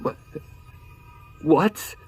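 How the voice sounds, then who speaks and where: A man's voice: very slow, darkish tone, soft background music. A man voicing a frightened, desperate pony stallion in a horror story reading, stammering and crying out. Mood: bewildered, frightened. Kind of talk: horror fan-fiction dramatic reading.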